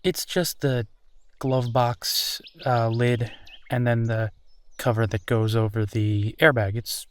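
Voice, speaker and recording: A man's voice: medium pitch, little pitch movement, takes it slowly, clean tone, no room sound, close to an everyday voice. A man playing a friendly, easygoing werewolf in a roleplay audio drama, talking casually and reassuringly with small hesitations. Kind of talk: roleplay audio drama, natural one-sided conversation.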